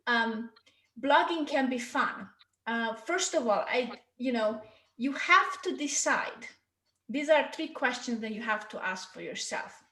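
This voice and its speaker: A woman's voice: on the high side, animated, measured pace, sharp tone, echoey room. A woman from Hungary with a Hungarian accent, presenting a marketing workshop in an informal, chatty way and often checking in with her listeners. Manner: chatty, checks in with "okay?" and "right?". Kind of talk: informal online teaching talk.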